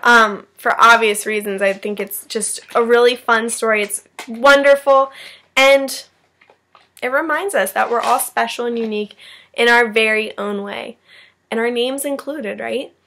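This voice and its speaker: A woman's voice: a little high, fairly even intonation, measured pace, bright, forceful tone. A woman teacher reading a children's story aloud and chatting encouragingly to her class, checking in with her listeners. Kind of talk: expressive children's read-aloud with asides.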